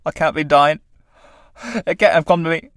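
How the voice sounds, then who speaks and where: A man playing a battered tramp in a stage-play reading, with rough colloquial speech and a pitying, defiant temper. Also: a little high, level tone, normal speed, fairly bright tone, no room sound, clean studio sound, lightly acted. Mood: desperate, defiant.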